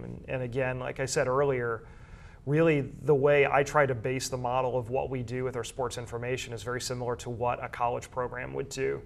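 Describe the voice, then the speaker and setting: A man's voice: medium pitch, vocal fry. Man explaining in an interview. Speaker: interviewee, school sports information director and play-by-play broadcaster.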